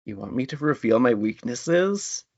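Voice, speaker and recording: A man speaking reflectively and in a measured way as a writer being interviewed. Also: medium pitch, very animated, vocal fry, a little mellow. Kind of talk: thoughtful conversational interview. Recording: dull, lo-fi audio.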